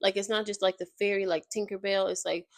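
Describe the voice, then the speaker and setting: A woman's voice: medium pitch, little pitch movement, fast talker, no echo, studio quality. A young woman, slightly nasal and congested, casually summarizing a book in a loose, rambling way and often searching for words. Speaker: YouTuber summarizing a book while playing a game.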